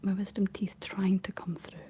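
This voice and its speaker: A woman's voice: medium pitch, flat delivery, normal speed, a little hiss or hum. Whispering young Scottish woman. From Scotland. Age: young adult. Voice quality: soft, breathy whisper. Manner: gentle, rambling chat while painting. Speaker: ASMR streamer reading and answering live chat. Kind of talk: whispered ASMR casual chat. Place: living room.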